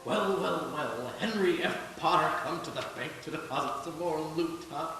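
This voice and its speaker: A man playing a scatterbrained, excitable old uncle in a live radio play, recorded in an echoey hall. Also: a little high, normal speed, music in the background, natural voice. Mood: jovial taunting. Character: scatterbrained, taunting, easily panicked old uncle. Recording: echoey hall from far off, faint hiss.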